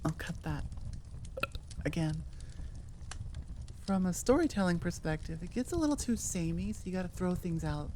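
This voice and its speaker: A woman's voice: on the low side, animated, moderate pace, pauses often, dark tone, slight background noise. A woman chatting casually and enthusiastically to her listeners as an audio creator, rambling off on tangents.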